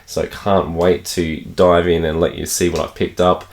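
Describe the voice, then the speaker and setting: An Australian man with a croaky voice from a cold, chatting enthusiastically to camera about games he picked up and laughing often. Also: deep voice, level tone, moderate pace, darkish tone. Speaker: gaming channel host. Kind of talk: casual unboxing chat to camera.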